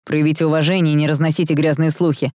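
A woman's voice: low-pitched, level tone, fast, warm tone, dry recording, studio quality, natural voice. A woman voicing a hot-tempered young man, blunt and stern, in an audiobook story. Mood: restrained anger. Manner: blunt, through clenched teeth, stern. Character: hot-tempered, broad-shouldered young man defending his sister.

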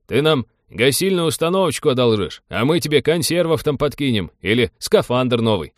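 A man's voice: deep voice, normal speed, dry recording, studio quality, close to an everyday voice. A man voicing a brash, cynical young spaceship pilot with a colloquial, sardonic manner. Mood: calling out.

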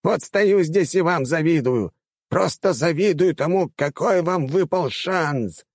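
Man putting on a high, blustering old rector. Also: measured pace, dry recording, clean studio sound. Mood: fervent exclaiming. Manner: exclamatory, indignant, pompous. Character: elderly male academy rector, blustering, wheedling salesman.

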